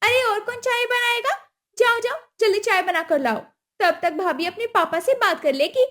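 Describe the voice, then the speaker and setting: A woman voicing a greedy, bossy middle-aged mother-in-law who talks in a shrill, harsh, scolding way. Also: moderate pace, a bit bright. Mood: sweet-talking.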